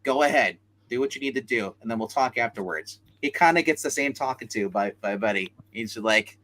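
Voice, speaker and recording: A man's voice: mid-range voice, fairly quick, fairly bright tone, low-quality recording. A man hosting a casual comic book chat show, talking in a chatty, slangy way. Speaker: host of a comics review show.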